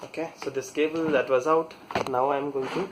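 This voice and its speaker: A man talking casually through a hands-on product installation, halting and pausing mid-sentence as he works. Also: mid-range voice, fairly even intonation, background noise. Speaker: product reviewer demonstrating installation. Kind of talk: casual hands-on tutorial talk.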